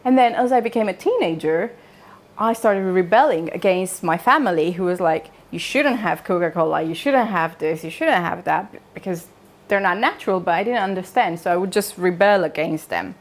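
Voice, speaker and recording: A woman's voice: fairly low pitch, lively intonation, normal speed. A woman from Romania with a Romanian accent, giving an informal talk in a conversational way, with joking asides and questions to her listeners. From Transylvania, Romania. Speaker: speaker at a wellness talk. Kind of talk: informal public talk, personal storytelling.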